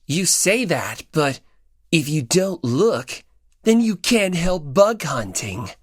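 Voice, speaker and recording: A woman voicing casual high school boys, teasing or awkward, in an audiobook. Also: very animated, slow pace, a little hiss or hum, heavily acted. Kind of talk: audiobook character acting.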